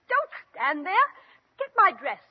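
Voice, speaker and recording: A woman's voice: very high-pitched, very animated, slow, heavily acted. A woman playing a conceited, mean young stepsister with a superior, theatrical, emphatic manner, in old radio play style. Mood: sneering. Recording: muffled old radio recording.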